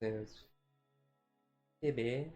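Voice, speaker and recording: A man's voice: medium pitch, drawn-out words, warm tone, faint music behind. A man livestreaming in a casual, laid-back, rambling way with informal, jokey slang.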